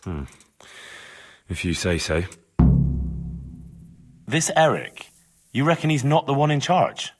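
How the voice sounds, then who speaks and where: A man's voice: medium pitch, sing-song, takes it slowly, long pauses, faint music behind. An actor playing an earnest young village lad in a video game, speaking in a plain, questioning way as he investigates. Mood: curt, sceptical, then probing. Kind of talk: dramatic video game dialogue.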